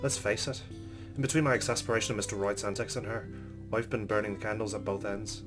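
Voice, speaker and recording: A man's voice: on the low side, steady tone, quick pace, music in the background. A man hosting a let's play, reading game narration aloud and adding casual commentary.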